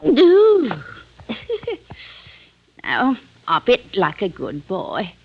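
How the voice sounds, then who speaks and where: Drawling, scornful sweetheart, wildly swooping. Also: female voice, mid-range voice, wildly swooping pitch, very slow, vocal fry, slightly rough, lo-fi sound, heavily acted. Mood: mocking, teasing. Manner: dismissive, teasing, drawling. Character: flippant, scornful young woman, a murderer's sweetheart. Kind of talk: old-time radio suspense acting. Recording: noisy vintage radio recording with clicks.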